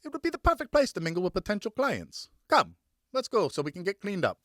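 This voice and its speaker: A man's voice: high voice, huge pitch swings, fast talker, fairly bright tone, dry recording, studio quality, pitched above the performer's own voice, exaggerated voice. A man voicing a persuasive, coaxing vineyard owner in an audiobook, talking like a salesman. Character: persuasive male vineyard owner with gimpy legs. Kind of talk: audiobook character dialogue.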